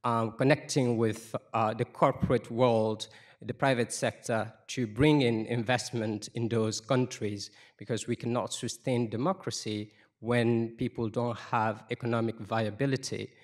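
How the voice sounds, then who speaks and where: A man from Guinea, a lawyer, asking a long, measured question into a handheld microphone, with many fillers along the way. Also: medium pitch, steady tone. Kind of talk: audience question at a lecture. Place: lecture room, seated in the audience.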